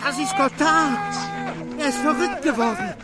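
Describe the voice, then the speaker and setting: A man's voice: extremely high pitch, moderate pace. An actor in a radio play playing a bewildered, agitated townsman with a plaintive manner. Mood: alarmed, bewildered. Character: distraught, bewildered ordinary townsman.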